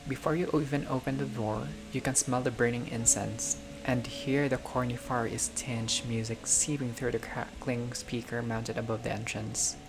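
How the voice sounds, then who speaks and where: A video game player reading a visual novel aloud as narration, with casual personal asides and expressive delivery. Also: mid-range voice, normal speed, hardly any pauses, low-quality recording. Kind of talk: playthrough narration with casual reactions. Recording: music behind the voice. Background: game music.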